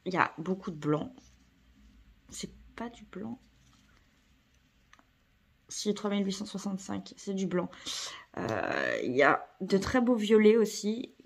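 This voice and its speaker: Hesitant woman with a cold. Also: medium pitch. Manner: hesitant, many fillers and self-corrections. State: has a cold. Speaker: craft vlogger. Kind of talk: casual unboxing chat.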